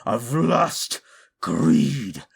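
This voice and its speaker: A man's voice: mid-range voice, big pitch swings, drawn-out words, creaky, husky, heavily acted. A man voicing a proud, scornful male demon of desire in an audio roleplay drama, who talks down to the listener with theatrical contempt. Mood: cold, mocking.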